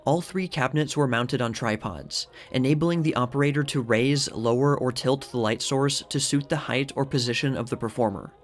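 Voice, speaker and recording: Male narrator, medium pitch, for measured, formal scripted reading of old news copy over a music bed.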